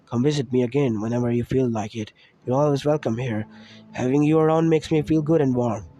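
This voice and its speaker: A man voicing a kindly female fox spirit in a read-aloud fan story, speaking low and soft. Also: fairly quick, highs cut off, faint music behind, lightly acted. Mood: gentle.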